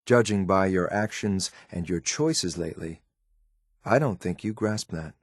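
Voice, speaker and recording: Low deadpan synthetic soldier for a game, clipped and formal, loyal but stern, super dry sound. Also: male voice, measured pace, no room sound. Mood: restrained frustration. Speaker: voice actor in a video game. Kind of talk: scripted game character lines.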